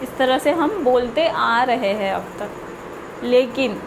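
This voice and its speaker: A woman teaching a spoken English lesson, mixing in English example sentences that she reads out slowly and carefully. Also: on the high side, animated, noisy background. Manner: slow, deliberate example sentences with frequent "theek hai".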